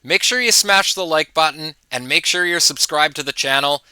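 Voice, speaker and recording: A man delivers casual opinion commentary to his viewers in a very bright, forceful voice. He is informal and mocking, dropping in amused asides. The sound is dry and close. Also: a little high, moderate pace, clean tone, no echo. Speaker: host of political commentary channel.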